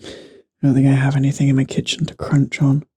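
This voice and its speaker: A man who is losing his voice and sounds hoarse and raspy. He chats casually in an ASMR-style whisper, joking at his own expense and slipping between whisper and voice, into a handheld mic. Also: mid-range voice, completely flat delivery, fast, dark tone. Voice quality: raspy, guttural. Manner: chatty, self-deprecating, drifts between whisper and voice. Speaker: vlogger. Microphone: handheld microphone.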